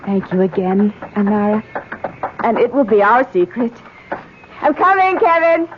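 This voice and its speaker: An actress playing an anxious, guilt-ridden wife in a radio drama, speaking earnestly with faltering hesitation. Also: female voice, a little high, a little slow, natural voice. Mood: pleased, secretive. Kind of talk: 1970s radio drama acting. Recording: dull, noisy old radio broadcast.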